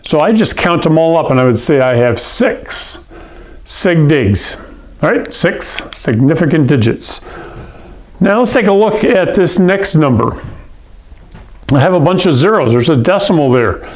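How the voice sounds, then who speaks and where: A man's voice: on the high side, expressive, a little slow. A man from Hawaii teaching a science lesson, explaining patiently and often checking in with "okay?" and "all right?". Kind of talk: classroom lecture.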